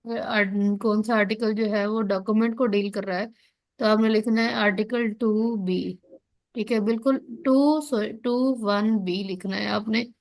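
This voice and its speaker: A woman's voice: medium pitch, steady tone, a little slow, darkish tone. A woman with a Pakistani accent giving an explanatory lesson as a lecturer, often checking understanding and answering her own questions. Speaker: law lecturer. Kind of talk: explanatory online lesson, reading out and explaining legal text.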